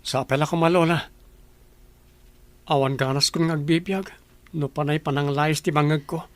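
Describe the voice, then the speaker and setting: A man's voice: a little high, fairly even intonation, moderate pace, no echo, natural voice. A man acting a despairing, bitter young grandson in an Ilocano radio comedy drama.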